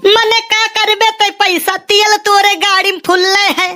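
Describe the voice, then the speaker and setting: A man's voice: extremely high pitch, flat delivery, unhurried, bright, forceful tone, exaggerated voice. A man playing a stingy, evasive young villager in a comic cartoon, whining defensively in an Awadhi accent. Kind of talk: amateur comic cartoon dub in dialect.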